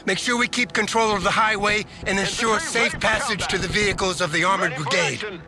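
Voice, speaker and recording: An actor playing a gung-ho, authoritative American general giving emphatic orders in a military strategy game. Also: male voice, high voice, fairly even intonation, brisk, loud music behind the voice. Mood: urgent commanding. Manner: commanding, emphatic.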